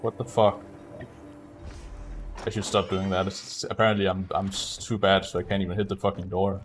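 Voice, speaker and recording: Male game streamer, low voice, offhand and self-critical speedrun commentary, faint noise behind. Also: little pitch movement, normal speed.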